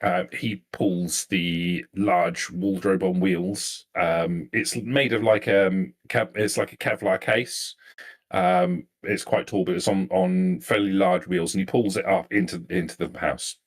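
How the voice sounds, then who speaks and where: Low-voiced man, hesitant game master. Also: fairly even intonation, measured pace. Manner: hesitant, searching for words, repeating himself. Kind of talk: improvised narration of a tabletop roleplaying game.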